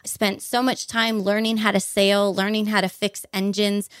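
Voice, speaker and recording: A woman's voice: mid-range voice, flat delivery, normal speed, no room sound. Enthusiastic woman from Hawaii, dry sound. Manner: enthusiastic, vivid storyteller. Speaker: podcast guest. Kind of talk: storytelling interview answers.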